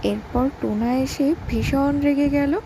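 A woman's voice: high-pitched, steady tone, a little slow, creaky, noisy background. A woman narrating a scripted children's story. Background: music.

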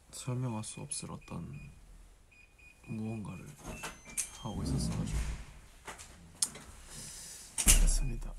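A man's voice: on the low side, creaky, background noise. A man in his twenties with a regional Korean dialect accent, hosting a casual late-night phone livestream in a dim room, drowsy and meandering as he mumbles to himself. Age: 20s. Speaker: idol livestream host. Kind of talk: casual solo livestream chat with viewers. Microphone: phone camera at arm's length.

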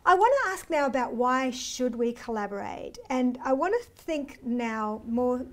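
A woman's voice: on the high side, animated, a little slow. A middle-aged woman moderating a panel discussion in an informal, conversational style. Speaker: panel host. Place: indoor studio panel set.

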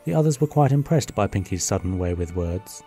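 A narrator reading a fan-made cartoon radio play in plain, even storytelling. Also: sits low, fairly quick, soft background music.

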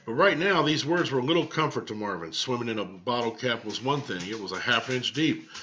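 Male American teacher, storybook read-aloud, forceful tone, casual asides, lo-fi webcam sound. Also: medium pitch, lively intonation, a little fast, slight background noise. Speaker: teacher reading aloud to children. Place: small home room, indoors. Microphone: webcam at desk distance. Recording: dull lo-fi webcam audio.

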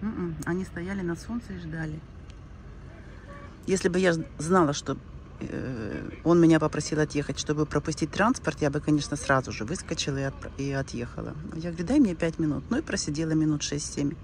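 A woman's voice: low-pitched, a little fast, a little mellow. Older woman vlogging casually over noisy ambience. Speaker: travel vlogger. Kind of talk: casual first-person vlog chat. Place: outdoors by the shore, in a car, in a cafe.

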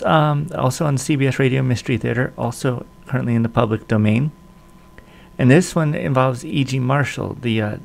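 A man's voice: medium pitch, creaky. A man in his forties casually hosting a video introduction to old-time radio shows.

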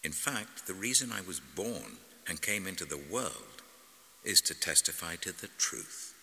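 Solemn, unyielding holy man , played by a man, deep and measured, for a scripture drama set in heavy reverb. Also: expressive, gravelly, pushed and bright, heavily acted. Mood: grave, assured. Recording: long hall-like reverb.

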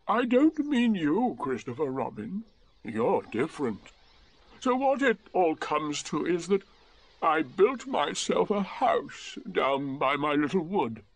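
A man voicing a melancholy, self-pitying donkey in a children's storybook, with drawn-out, lugubrious complaining. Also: fairly high pitch, sing-song, voice pushed up, full character voice, rough recording. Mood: melancholy grumbling.

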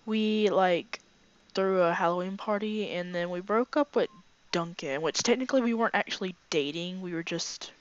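Brief: young female game host, hoarse and raspy from a cold, rambling filler-laden commentary, slightly muffled home mic. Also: fairly low pitch, moderate pace, dry recording. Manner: rambling, filler-heavy, chatty. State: hoarse, voice fading from illness. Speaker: gaming channel host. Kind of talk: casual let's play commentary. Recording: slightly dull lo-fi home recording.

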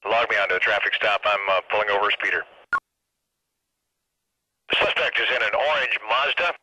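A man's voice: on the low side, fairly quick, sharp tone, breathy edge, no echo, full character voice, poor audio. A man playing a police patrol officer in a video game, talking urgent, clipped jargon through a radio filter. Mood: businesslike, alert. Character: urgent male patrol cop chasing speeders.

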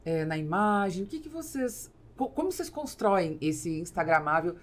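A woman's voice: lowish voice, big pitch swings, normal speed. A woman in her forties with a Brazilian accent, hosting a casual interview as she speaks close to a studio mic. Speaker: podcast host. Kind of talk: casual interview, asking questions. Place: small foam-treated podcast studio. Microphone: large podcast mic on boom arm, close.

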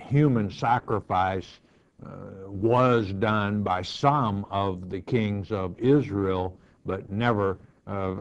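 A man's voice: fairly low pitch, a little mellow. An older man, a pastor, teaching through the Bible verse by verse, reading scripture aloud and then explaining it in plain words with many fillers. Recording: dull lo-fi broadcast sound.

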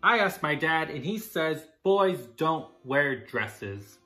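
A bossy, scornful little storybook bully, done by a man. He is demanding, with a forceful bright tone and big pitch swings. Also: big pitch swings, slow pace, faint music behind, exaggerated voice. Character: Christopher, bossy, scornful schoolboy.

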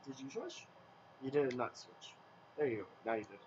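A man's voice: mid-range voice, sing-song, normal speed, darkish tone, a little hiss or hum. Young man coaching a friend's game. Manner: stammering, bossy backseat coaching. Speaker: co-player giving advice. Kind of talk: casual gaming banter. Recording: dull lo-fi mic.

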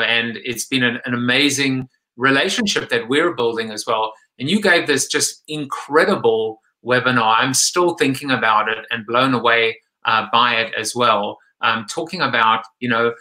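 Bright-voiced South African-born host. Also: male voice, mid-range voice, poor audio. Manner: halting, many fillers and restarts. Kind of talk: live online interview show. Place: home room with lamp and blinds. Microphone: webcam at desk.

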